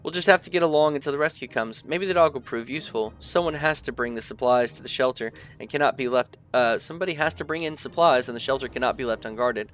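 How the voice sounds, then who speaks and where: A young man with a stuffy nose chatting casually through a gameplay session, reading the game's text aloud and thinking out loud with lots of fillers. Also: mid-range voice, quick pace, few pauses. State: stuffy from allergies. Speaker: gaming video creator. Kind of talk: casual let's-play commentary, reading game text aloud.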